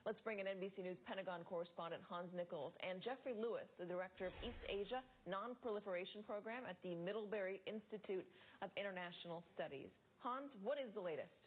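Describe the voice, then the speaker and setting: A woman's voice: medium pitch, fast talker, few pauses. A woman with an American accent anchoring live news and questioning guests. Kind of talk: live news presenting and interviewing. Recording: tinny phone-like audio, faint noise.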